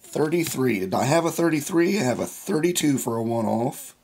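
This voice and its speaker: A man hosting his own scratch-off ticket channel, hoarse and raspy after losing his voice, talking in a slow, plain way and reading numbers out one by one. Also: medium pitch, expressive, a little mellow. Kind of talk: casual vlog narration.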